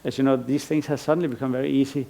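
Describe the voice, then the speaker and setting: A man's voice: medium pitch, flat delivery, rapid-fire, creaky, dark tone. A middle-aged man from Iceland giving a conference lecture as an artist, thoughtful and rambling, with frequent restarts and fillers. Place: lecture stage.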